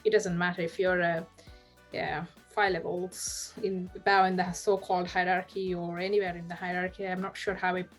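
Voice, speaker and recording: A woman's voice: fairly low pitch, flat delivery, moderate pace, faint music behind. A woman in her thirties, a podcast guest on a video call, talking earnestly and often restarting as she searches for words. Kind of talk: casual video-call interview. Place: home room.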